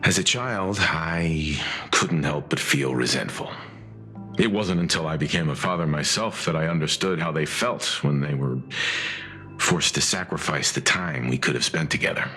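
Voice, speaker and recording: An actor playing a calm, wise middle-aged gentleman crew member in a video game, formal, measured and reassuring. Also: a bass voice, faint music behind. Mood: reflective.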